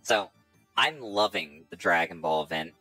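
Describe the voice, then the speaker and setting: Young man, deep voice, bright tone, casual podcast chat, opinionated, enthusiastic. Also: animated, a little slow, no echo, soft background music, low-quality recording. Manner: opinionated, enthusiastic, rambling with fillers. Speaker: podcast co-host. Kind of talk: casual chat about video games.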